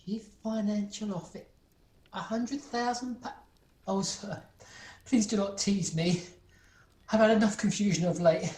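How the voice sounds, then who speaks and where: A woman's voice: medium pitch, fairly even intonation, measured pace, pauses often, a little mellow, a little hiss or hum, close to an everyday voice. A woman playing a meek, anxious male clerk in an amateur radio play, deferential, stammering and flustered. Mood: bewildered. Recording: roomy, echoing home recording.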